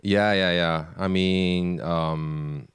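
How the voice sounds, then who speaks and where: A man in his twenties from Japan talks in a laid-back, hesitant way, often pausing on fillers. His very low voice is smooth and resonant, recorded close and dry. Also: no echo. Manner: relaxed, hesitant, many fillers. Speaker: sound engineer filling in as co-host. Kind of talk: casual podcast chat.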